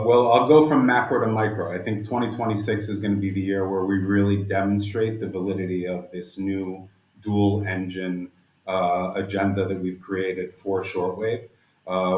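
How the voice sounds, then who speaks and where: A man's voice: low voice, darkish tone. A man, a company director, explaining business plans in an interview with formal, elaborate wording in a roomy recording. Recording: roomy, echoing sound.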